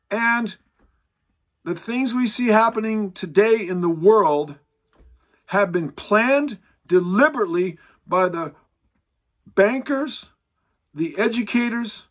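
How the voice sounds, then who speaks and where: A man in his sixties teaching to camera from a book-lined home study, emphatic and animated, stressing points by raising his voice. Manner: emphatic, pitch rising when stressing lists; animated, gesturing with both hands. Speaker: Bible teacher. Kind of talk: lecture-style teaching to camera. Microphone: seated close to a fixed camera.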